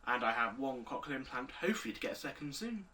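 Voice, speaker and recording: An eighteen-year-old man, calmly answering interview questions with pauses. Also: mid-range voice, recorded from a distance. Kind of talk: recorded video interview answers.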